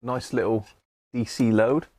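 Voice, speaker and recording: A man with an English accent and a gravelly voice, hosting a hobby electronics live stream in a chatty, rambling way, thinking aloud as he works. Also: mid-range voice, level tone, a little slow, dark tone, slight background noise. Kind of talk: casual live-stream chat while working at a bench.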